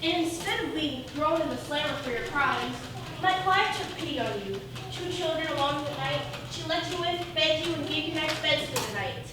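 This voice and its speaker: A woman's voice: sits high, normal speed, lightly acted. A woman playing a pointed, indignant defence lawyer in a theatrical fairy-tale courtroom comedy. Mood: accusatory. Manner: accusatory, pointed. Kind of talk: theatrical stage comedy acting. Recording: distant, very echoey, noisy stage recording.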